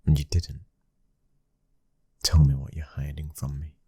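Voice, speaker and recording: Very deep, husky British mafia husband acted by a man. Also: little pitch movement, a little slow, no room sound, exaggerated voice. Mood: puzzled, probing. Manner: hushed intimate delivery slipping into whispers. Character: brooding young mafia boss's son, protective devoted husband. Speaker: ASMR roleplay performer. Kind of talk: intimate boyfriend roleplay ASMR acting.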